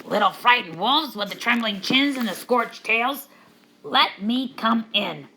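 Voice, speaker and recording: A woman voicing a menacing big bad male pig in a storybook, booming out drawn-out threats. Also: lively intonation, pushed and bright, slight background noise, heavily acted, rough recording.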